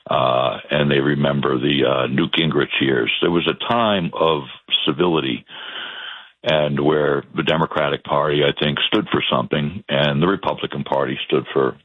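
Male guest, Boston accent, very deep gravelly voice, husky, halting delivery, tinny phone-line sound. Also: no echo. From Boston area, Massachusetts. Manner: halting, restarts, earnest. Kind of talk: political talk interview.

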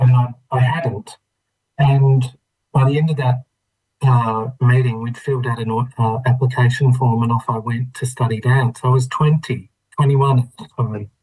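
An Australian man in his fifties from Melbourne's western suburbs, telling his own story in a reflective, thoughtful, halting way as an interview guest. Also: medium pitch, a little mellow, slightly rough, low-quality recording. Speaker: interview guest, trained dancer. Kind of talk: reflective personal storytelling in conversation.

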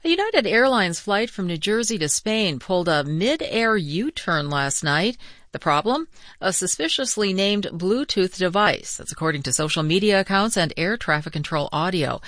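A woman reading the hourly radio newscast in a crisp, composed manner. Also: on the low side, expressive, moderate pace, a bit bright, no echo. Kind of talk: scripted news reading. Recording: dry studio.

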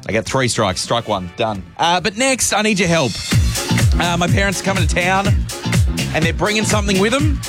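A man hosting a drive-time radio show, chatty and upbeat, his voice croaky from a sore throat. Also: high-pitched, very animated, moderate pace, loud music behind the voice. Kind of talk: casual radio chat.

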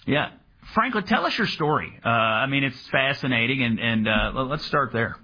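A man's voice: mid-range voice, expressive, normal speed, husky. A man with an American accent hosting a talk radio show and introducing a guest. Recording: dull radio sound with music underneath.

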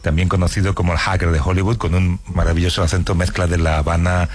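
Low-voiced male radio host. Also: flat delivery, fast talker, a little mellow, a little hiss or hum. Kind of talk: casual, bantering interview. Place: radio studio.

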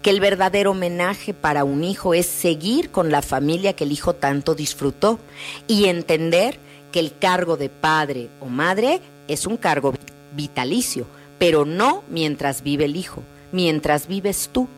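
A woman counselor speaking gently and reassuringly in a radio message about grief. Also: sits low, lively intonation, a little slow, soft background music. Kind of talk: calm spoken radio message.

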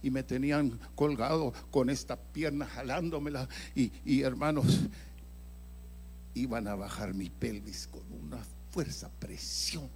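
A man's voice: medium pitch, measured pace, a little hiss or hum. Older man preaching with dramatic swings. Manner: dramatic storytelling, swinging from loud emphatic bursts to hushed near-whispers. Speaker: evangelist preacher. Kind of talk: sermon testimony.

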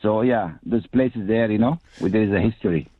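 A man's voice: fairly low pitch, fairly quick. A man from Morocco with a Moroccan accent, chatting casually as a radio phone-in guest, often repeating himself as he searches for words, over a phone. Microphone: phone call. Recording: dull, lo-fi, echoey phone line.

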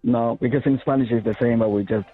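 A man from Paraguay calling in to a radio show, talking in a halting, friendly way. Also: medium pitch, steady tone, slightly rough, no room sound, faint music behind. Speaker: caller. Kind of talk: phone call to a radio show. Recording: tinny phone line.

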